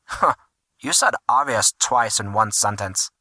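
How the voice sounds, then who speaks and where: A man's voice: lowish voice, unhurried, pushed and bright, no room sound, exaggerated voice. A man voicing a laid-back, teasing teenage school friend with a casual manner in an audio story.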